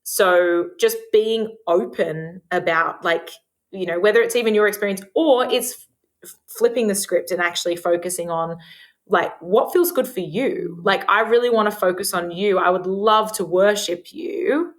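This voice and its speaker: A woman speaking as a guest sex therapist on a podcast, talking frankly about sex with lots of "like" fillers and a naturally loud voice. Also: medium pitch, moderate pace. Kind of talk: casual podcast conversation.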